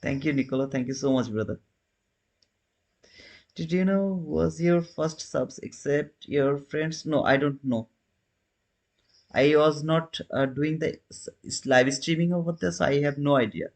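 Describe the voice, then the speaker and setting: A man's voice: fairly high pitch, measured pace. Chatty man hosting a trading livestream. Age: 30s. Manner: chatty, reads and answers viewer chat while trading; non-native phrasing, frequent restarts. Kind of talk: casual live-stream commentary.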